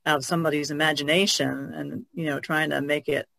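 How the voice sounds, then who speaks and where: A man's voice: on the high side, flat delivery, a little fast, creaky, sharp tone, no echo. A man in a casual podcast discussion, explaining his thoughts in a tentative way.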